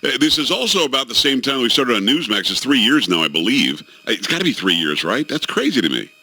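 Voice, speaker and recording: A man's voice: mid-range voice, quick pace, slightly rough, rough recording. A middle-aged man hosting a radio talk show, telling jokey stories in casual banter with his co-hosts. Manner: animated storytelling, jokey.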